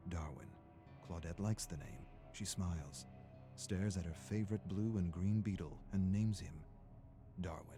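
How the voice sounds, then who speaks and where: A man narrating a scripted story in a measured, dramatic storytelling manner. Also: low-pitched. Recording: music under the voice. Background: background music.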